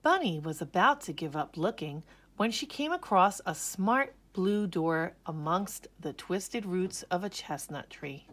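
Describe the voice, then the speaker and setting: Woman, children's storyteller, unhurried pace, slightly low pitch, expressive, dramatic emphasis. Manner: slow, animated, dramatic emphasis. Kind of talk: expressive children's read-aloud narration.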